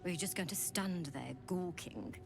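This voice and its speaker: Haughty, low-voiced alien healer. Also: female voice, moderate pace, vocal fry, background noise. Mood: clinical, brusque. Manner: clinical, imperious, condescending. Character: haughty, clinical female alien infirmary healer, coldly curious. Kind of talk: fantasy video game acting. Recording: music behind the voice.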